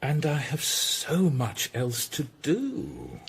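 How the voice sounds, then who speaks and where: Tudor radio drama: menacing, sardonic male statesman, very raspy, slow and expressive. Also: on the high side, heavily acted. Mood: weary sarcasm. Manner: deliberate, threatening. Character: menacing, sardonic Tudor royal secretary, ruthless older statesman.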